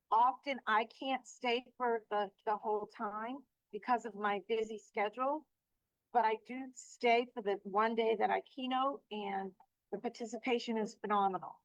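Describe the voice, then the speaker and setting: A woman's voice: fairly high pitch, dry recording. A woman who is an association president and keynote speaker, talking earnestly and emphatically in a testimonial interview. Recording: dry, slightly dull recording.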